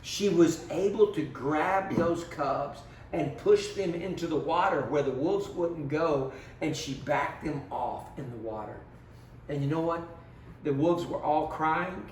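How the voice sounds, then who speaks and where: A man's voice: fairly high pitch, a little slow, darkish tone. A man giving a children's Bible lesson as storyteller, speaking simply and instructively and putting questions to small children. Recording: roomy, distant mic with background noise.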